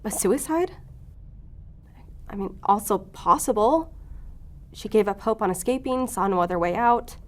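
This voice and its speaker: A woman's voice: medium pitch, unhurried, long pauses, close to an everyday voice. A woman acting in audio fiction as a driven, blunt investigator who thinks aloud intensely. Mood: brooding.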